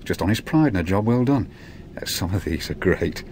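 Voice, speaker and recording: Low-voiced, teasing burly van driver. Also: male voice, animated, a little fast, darkish tone. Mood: wry, teasing. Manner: teasing, blunt, relishes gruesome storytelling. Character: burly jovial van driver, needling, fond of morbid tales. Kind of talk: radio drama acting. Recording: noisy old radio drama recording.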